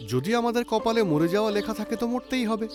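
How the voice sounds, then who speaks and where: Guy doing a cocky young cartoon friend, preachy n emphatic, highish lively voice, loud music under it. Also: brisk. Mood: confident, lecturing. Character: bold young man, self-assured, lecturing his timid friend. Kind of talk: animated children's story acting.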